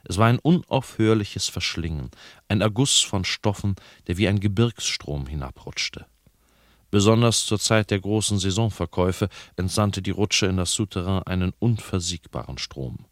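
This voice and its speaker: A man reading a novel excerpt as narrator, calm and measured. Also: low-pitched, no room sound, clean studio sound.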